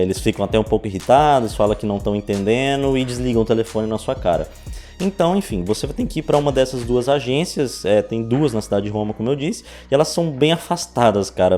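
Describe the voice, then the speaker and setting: Mellow young Brazilian man. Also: on the low side. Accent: Brazilian Portuguese. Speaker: vlogger. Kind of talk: casual storytelling to camera. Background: background music.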